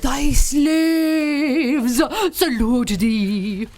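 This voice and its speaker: A woman's voice: high voice, drawn-out words, darkish tone. A woman playing a grovelling court servant who speaks formally in a live old-time radio play. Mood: fawning. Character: fawning court servant of the emperor.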